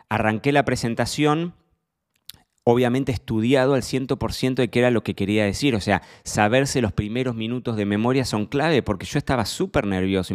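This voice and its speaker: A man's voice: mid-range voice, a little mellow. A middle-aged man with an Argentine Rioplatense accent, hosting his own podcast in a chatty, self-deprecating monologue full of fillers and tag questions. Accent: Argentine Rioplatense Spanish. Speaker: podcast host. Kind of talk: casual solo podcast monologue.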